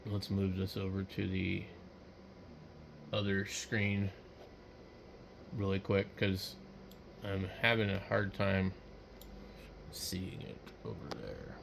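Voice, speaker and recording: A man with a lowish voice talks through what he is doing in a casual, unscripted way. He speaks slowly and haltingly, often trailing off, with faint background noise around him. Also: little pitch movement, vocal fry, fairly bright tone. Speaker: hobbyist host. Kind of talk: casual unscripted screen-share commentary, thinking aloud.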